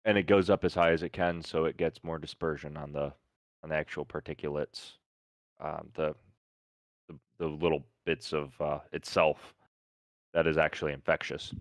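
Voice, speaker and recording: A man's voice: a bass voice, flat delivery, normal speed, dry recording. A man with a Midwestern accent, hosting a casual podcast chat, talking easily with fillers and repeated words. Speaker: podcast host.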